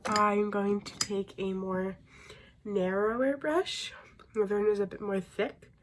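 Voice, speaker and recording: Slow-talking, slightly raspy young woman. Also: medium pitch, steady tone, a little hiss or hum. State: getting over a sickness. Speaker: beauty vlogger. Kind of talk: casual makeup tutorial chat.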